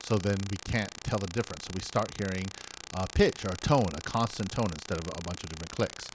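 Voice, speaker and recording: A man with a somewhat low voice teaches sound basics, working through his points aloud with frequent fillers and restarts. A clear, steady mains hum runs under the whole recording. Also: quick pace, rough recording. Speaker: audio instructor.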